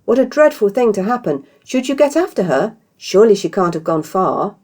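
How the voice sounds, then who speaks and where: Woman playing cheerful, polite lady innkeeper, pitched up. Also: sing-song, a little fast. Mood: feigned shock. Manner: polite, bright, feigning innocence. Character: helpful, slyly kind woman innkeeper, professionally cheerful.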